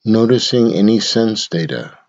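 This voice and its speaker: A man leading a guided meditation in a measured, instructional way, speaking in short phrases with long pauses. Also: on the low side, lively intonation, dull audio.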